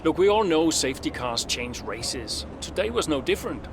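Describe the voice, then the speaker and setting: An actor playing a veteran racing driver in a game cutscene, proud and weary, with a clipped, dry, guarded delivery. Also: male voice, a little high, very animated, moderate pace, creaky, background noise. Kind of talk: video game cutscene acting.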